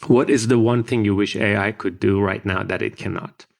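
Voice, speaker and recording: Male podcast host, gravelly low voice, brisk friendly questions. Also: steady tone. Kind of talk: interview hosting.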